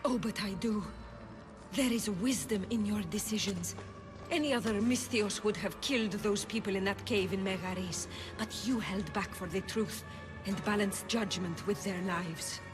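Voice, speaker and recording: A woman's voice: mid-range voice, moderate pace, lightly acted. A woman doing a grave oracle priestess, commanding, music behind. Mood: urgent. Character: solemn female oracle priestess. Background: game score music.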